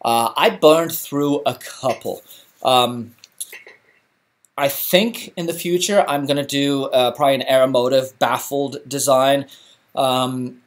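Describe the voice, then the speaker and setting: Middle-aged guy from england, chatting loose on a live stream, lots of ums and restarts. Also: medium pitch. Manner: relaxed and chatty, many fillers and restarts. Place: home garage.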